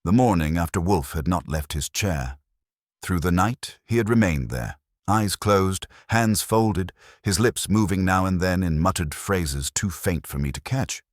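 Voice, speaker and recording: A man's voice: low voice, expressive, unhurried, dry recording, clean studio sound. A man narrating a scripted detective story as an audiobook.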